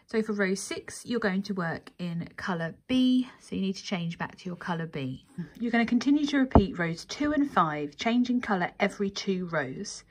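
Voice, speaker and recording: A woman's voice: mid-range voice, lively intonation, normal speed, breathy edge. A woman presenting a craft tutorial, giving methodical step-by-step instruction and counting as she goes.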